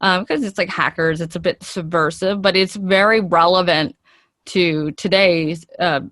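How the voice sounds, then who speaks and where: A woman with a lowish voice talks as an interview guest, chatty and keen to explain. The sound is dry and close, and her delivery is conversational and enthusiastic. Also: fairly even intonation, unhurried, a bit bright, dry recording.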